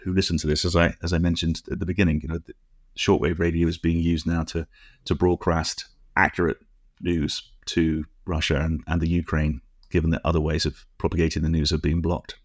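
Male podcast co-host, low register, dry recording, conversational technical explainer, precise and digressive. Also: flat delivery, fairly quick, dry recording.

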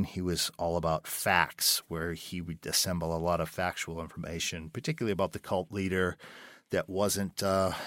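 A man with a very low voice talks through his answers in an interview, thinking aloud with fillers and restarts. The sound is close and dry. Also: a bit bright, no room sound. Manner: thoughtful, searching for words with many restarts and fillers. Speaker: writer and director, interview guest.

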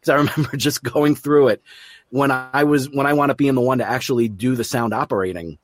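A man's voice: medium pitch, a little fast, dry recording. A man hosting an interview in casual conversation, chatty and rambling, with a self-deprecating streak. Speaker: interview host and audio drama producer.

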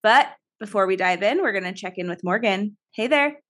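A woman's voice: medium pitch, expressive, brisk, fairly bright tone. A young woman co-hosting a podcast, chatting in an upbeat, encouraging way. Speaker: podcast co-host. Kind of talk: casual chat.

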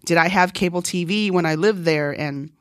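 Chatty woman host from Guam, low-pitched. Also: moderate pace, dry recording, clean studio sound. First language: Korean. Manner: chatty, laughs often. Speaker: podcast host. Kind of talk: personal storytelling monologue.

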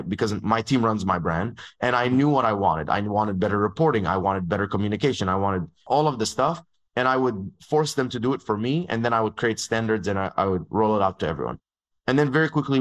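A young man from Dubai, telling his agency story in a fast, casual way full of "like"s. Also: lowish voice. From born in Egypt, grew up in Dubai. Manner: fast, filler-heavy talk with many "like"s, acts out dialogue. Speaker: agency founder, guest.

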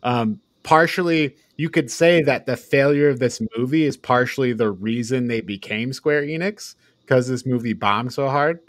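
A man's voice: medium pitch, moderate pace, hardly any pauses, dry recording. A man chatting casually as a panelist on a podcast. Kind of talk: casual discussion.

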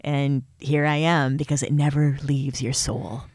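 A man's voice: medium pitch, steady tone, unhurried, creaky, no room sound, clean studio sound. A man from Michigan with a Midwestern accent, a host and actor, chatting eagerly in an interview. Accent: Midwestern American. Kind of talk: casual interview chat.

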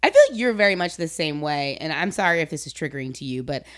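A woman hosting a casual chat, informal and expressive, using slang and acting out what people said. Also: sits low, fast. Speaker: podcast host, comedian.

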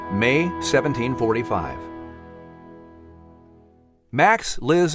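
A man narrating a children's story from a script in an expressive storytelling style. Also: medium pitch, dry recording, studio quality. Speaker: storyteller and host.

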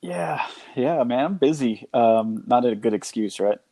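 A man's voice: medium pitch, animated, a little slow, vocal fry, mellow, dry recording. A man talking informally and conversationally as a guest on a podcast. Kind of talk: casual chat.

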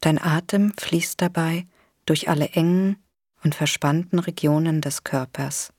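A woman leading a guided imagery relaxation exercise in a soothing, gentle, unhurried way with long pauses. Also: sits low, steady tone, dry recording, studio quality. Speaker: guided meditation instructor.

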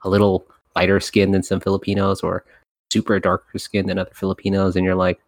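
Male podcast host, lowish voice, animated and laughing easily. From United States. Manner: animated, laughs often, talks in quick runs. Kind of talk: casual podcast chat.

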